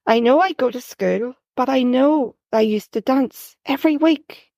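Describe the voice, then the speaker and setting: A woman author reading her first-person story in a calm, reflective way. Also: sits high, a little slow, creaky, no echo, clean studio sound.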